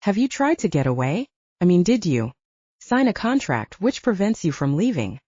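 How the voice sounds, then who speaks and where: Woman reading as earnest curious romance heroine, candid, soft dark tone, slightly dull sound. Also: fairly low pitch, very animated, moderate pace, breathy edge, no echo. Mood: curious, probing.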